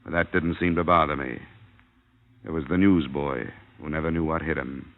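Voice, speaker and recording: Cynical male detective, very low voice, American, clipped and blunt, vintage radio drama, dull old recording. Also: fairly even intonation, moderate pace, creaky. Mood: businesslike. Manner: clipped, hard-boiled, blunt. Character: tough, cynical male freelance insurance investigator. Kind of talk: 1950s American radio crime drama acting.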